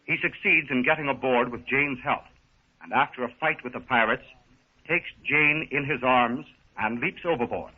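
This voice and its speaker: A man's voice: mid-range voice, normal speed, husky. Dramatic male announcer on thin old radio. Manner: formal, dramatic. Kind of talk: 1930s radio serial announcing, scripted. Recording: thin, dull 1930s radio recording.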